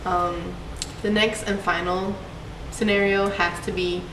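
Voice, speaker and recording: A woman's voice: fairly low pitch, little pitch movement, a little slow. A young woman from Puerto Rico, a student telling personal stories for a class project in a chatty way, with many fillers. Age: young adult. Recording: echoey, distant mic, background noise.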